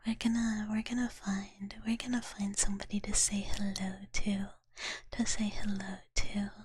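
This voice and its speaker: A woman streaming ASMR in a soft, gentle whisper, often repeating her phrases, her voice a little tired. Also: medium pitch, level tone, normal speed, husky. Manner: speaks of herself in third person. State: voice tiring, slightly strained. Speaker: live streamer hosting as a virtual character.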